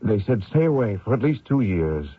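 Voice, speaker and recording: Grave, low-voiced haunted fugitive. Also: male voice, very animated, moderate pace, slightly rough, no room sound, phone-quality sound. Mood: pleading. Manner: grave, earnest, defensive. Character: haunted, pleading American fugitive man. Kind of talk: old radio drama acting. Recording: thin dry old radio recording.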